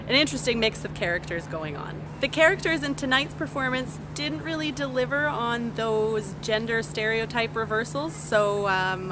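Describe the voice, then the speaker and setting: Woman musing aloud over noisy background. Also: mid-range voice. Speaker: theatre reviewer. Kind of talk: casual spoken show review.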